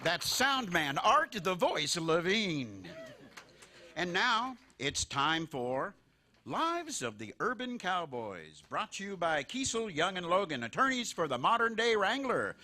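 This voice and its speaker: A man's voice: high voice, very animated, takes it slowly, bright, forceful tone, a little hiss or hum. A man announcing a scripted live radio-style variety show as its host.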